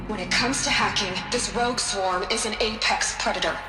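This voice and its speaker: A woman with an American accent playing a brisk, blunt scientist in a game, matter-of-fact in delivery. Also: medium pitch, close to an everyday voice. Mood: impatient. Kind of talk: video game cutscene voice acting. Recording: echoey room, music behind.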